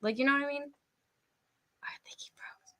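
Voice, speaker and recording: A woman's voice: high voice, steady tone, moderate pace, background noise. A woman hosting a casual live-stream interview, chatting informally with her guest and audience. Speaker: podcast host and interviewer.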